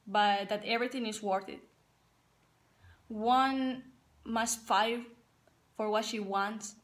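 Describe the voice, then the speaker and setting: A young woman from Paraguay, a Spanish speaker with a Paraguayan Spanish accent, a college soccer player giving an earnest, careful prepared personal speech. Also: on the high side. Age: young adult. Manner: earnest, careful and measured, with some non-native phrasing.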